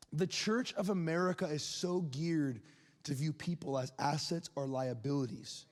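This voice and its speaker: A man in his twenties preaching a sermon in a conversational, animated way, with quick asides to the crowd. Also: fairly high pitch, big-room sound. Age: 20s.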